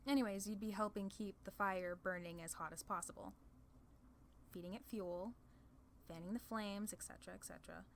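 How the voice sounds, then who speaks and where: A woman's voice: medium pitch, fairly even intonation, moderate pace, slight background noise, close to an everyday voice. A woman voicing a playful, proud demon ruler of hell in a casual, sardonic ASMR roleplay. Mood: wry, matter-of-fact. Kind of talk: ASMR roleplay audio drama.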